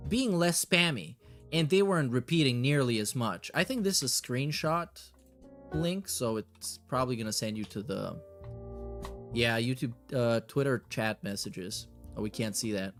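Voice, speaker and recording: A man's voice: medium pitch, expressive, normal speed, a bit bright, faint music behind. A man hosting a reaction show in a casual, blunt, sardonic way, reading messages aloud and swearing as he comments.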